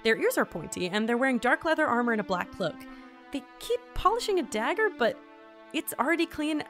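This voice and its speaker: An actress playing an awkward, wry visitor from another world in a fantasy audio drama, casual and hesitant. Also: female voice, sits high, big pitch swings, fairly quick, fairly bright tone, soft background music, full character voice. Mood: wry, observing. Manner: casual, hesitant, dryly sarcastic. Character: awkward, wry, easily amazed otherworldly traveler. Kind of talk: fantasy audio drama acting with mission-log asides.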